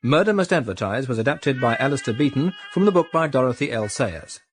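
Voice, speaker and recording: Radio announcer, male, British accent, mid-pitched, formal scripted credits over clear music bed. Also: lively intonation, brisk.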